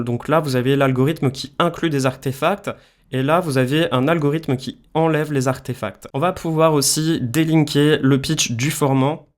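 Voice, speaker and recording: A man explaining music software step by step in a casual tutorial style. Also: a little high. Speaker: music production tutorial presenter.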